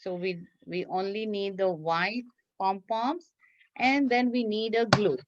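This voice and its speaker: Slow-paced woman teaching young children. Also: mid-range voice, dry recording. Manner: encouraging, praising, repeating instructions. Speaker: teacher leading a children's craft class. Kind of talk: instructional talk to young children, simple step-by-step. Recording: dull lo-fi online class audio.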